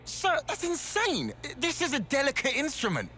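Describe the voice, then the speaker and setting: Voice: sits high, wildly swooping pitch, normal speed, sharp tone, a little hiss or hum, heavily acted. An actor playing a flustered, exasperated young mechanic in a game cutscene.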